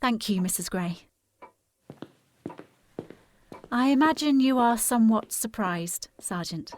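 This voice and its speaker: A woman's voice: on the high side, animated, moderate pace, darkish tone, a little hiss or hum, close to an everyday voice. An actress playing a resolute, well-bred young woman in a period audio drama, with a formal, poised and earnest manner. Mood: composed, pleased.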